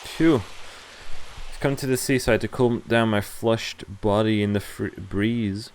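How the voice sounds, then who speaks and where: A man's voice: lowish voice, unhurried, slight background noise. A young man streaming a visual novel, reading its text aloud with casual, amused commentary over game music. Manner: casual, sweary, amused reactions.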